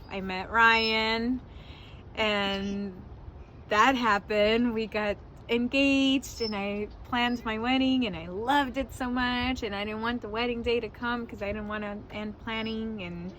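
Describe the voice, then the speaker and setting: A woman's voice: on the high side, fairly bright tone, a little hiss or hum. A woman in her forties who was born in Mexico, answering interview questions as a wedding planner in a candid, casual way, laughing often and swearing now and then.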